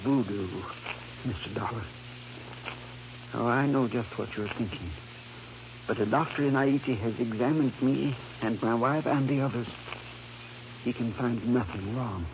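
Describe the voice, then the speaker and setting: An actor playing a sick, weary farmer in an old-time radio drama, earnest and fearful, with weak, labored delivery. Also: male voice, medium pitch, unhurried, long pauses, close to an everyday voice. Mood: strained, resigned. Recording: dull vintage radio recording, faint hum.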